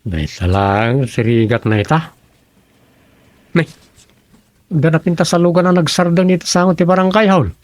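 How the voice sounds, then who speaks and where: A man's voice: a little high, normal speed, long pauses, a little mellow, no echo, close to an everyday voice. An actor playing a folksy village councilman in an Ilocano radio comedy drama, with an Ilocano accent. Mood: conversational. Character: village councilman, folksy adult man chatting with townsfolk.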